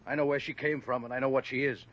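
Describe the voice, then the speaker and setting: A man's voice: medium pitch, steady tone, fast, a bit bright, lightly acted. A man playing a loyal, resentful cab driver with an American accent, earnest and bitter, protective in manner, in a 1950s radio drama. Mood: protective, indignant. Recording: muffled, hissy vintage radio recording.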